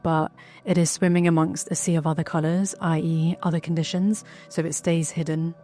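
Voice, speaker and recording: A woman's voice: deep voice, flat delivery, normal speed, dark tone, faint music behind. A woman giving a conversational personal testimony in which she explains things.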